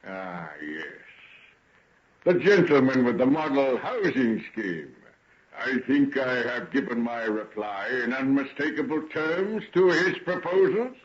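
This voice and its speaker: An actor playing a sinister, mocking gentleman with elaborate politeness in an old radio drama. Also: mid-range voice, very animated. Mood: smug. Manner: taunting, theatrical, drawn-out polite phrasing. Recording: dull, noisy old radio recording with clicks.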